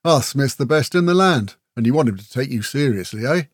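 A man's voice: medium pitch, animated, brisk, no echo, studio quality, pitched above the performer's own voice, close to an everyday voice. A man voicing a chatty, folksy warrior with a breezy, teasing humour in a fantasy audiobook. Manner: folksy, matey, talkative, with tag questions. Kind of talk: fantasy audiobook character dialogue.

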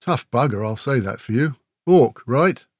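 A man's voice: medium pitch, lively intonation, measured pace, no room sound, clean studio sound. A man voicing a chatty, folksy warrior with a breezy, teasing humour in a fantasy audiobook. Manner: folksy, matey, talkative, with tag questions. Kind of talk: fantasy audiobook character dialogue.

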